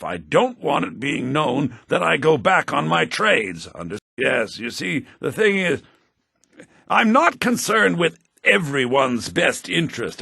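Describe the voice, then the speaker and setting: An actor playing a sly, smug, self-serving merchant in a fantasy video game, with a theatrical, condescending delivery. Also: male voice, vocal fry, pushed and bright, husky, no room sound, studio quality, exaggerated voice. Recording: low-bitrate game audio rip.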